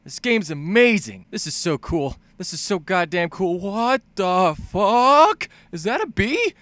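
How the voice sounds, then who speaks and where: A man delivers comedic video game commentary in a sharp, fairly high voice. His pitch swings widely as he exaggerates everything. He swears freely and plays up both confusion and excitement. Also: measured pace, no echo. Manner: profane, exaggerated, bouncing between mock dumb and excited shouting. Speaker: video game commentator, fan-dub voice-over. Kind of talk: animated comedic game-review voice-over.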